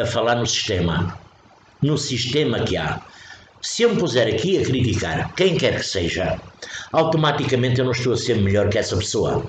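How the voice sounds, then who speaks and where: A man from Portugal with a European Portuguese accent, giving a spontaneous spiritual talk, emphatic and repetitive, often restarting phrases. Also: mid-range voice. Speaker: spiritual preacher. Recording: echoey room with faint noise.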